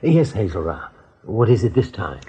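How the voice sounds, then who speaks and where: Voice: deep voice, very animated, normal speed, mellow, slightly rough, exaggerated voice. An actor playing a questioning, doubtful rabbit in a radio play.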